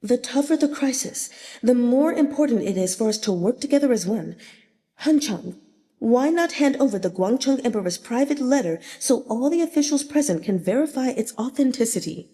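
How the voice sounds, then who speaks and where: A woman voicing a composed, commanding empress dowager in an audiobook, regal and measured, with long reverb on the recording. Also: fairly high pitch, expressive, mellow, close to an everyday voice. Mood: composed, firm. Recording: long reverberant hall echo.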